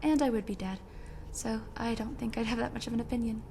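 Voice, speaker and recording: A young woman playing an earnest, sweet runaway princess in a video game, with a soft, sometimes breathy delivery. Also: on the high side, fast, slight background noise. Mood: hopeful, earnest. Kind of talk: video game character dialogue.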